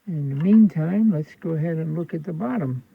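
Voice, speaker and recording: A man's voice: a little high, expressive, fairly quick, creaky, heavy background noise. A man with an American accent giving unscripted hands-on repair commentary, thinking aloud in a folksy, colloquial way. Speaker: host of a radio repair video. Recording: narrow phone-like sound.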